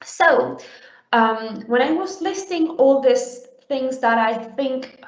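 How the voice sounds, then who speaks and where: Young woman from Uruguay, fairly high voice, casual conference speaker with frequent restarts. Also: lively intonation, takes it slowly. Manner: conversational, many fillers and restarts. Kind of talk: conference talk. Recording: roomy, dull lo-fi sound.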